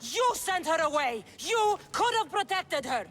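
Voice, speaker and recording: Fierce grieving greek warrior woman for a video game, very lively pitch, long reverb. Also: a little slow, a little hiss or hum, heavily acted. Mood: angry. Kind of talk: dramatic video game cutscene acting.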